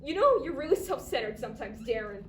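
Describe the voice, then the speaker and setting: A woman's voice: high voice, sing-song, fast talker. A female drama student playing an upset, caring, reproachful office coworker in a staged reading. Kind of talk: staged reader's theatre performance. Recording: distant echoey noisy live stage recording.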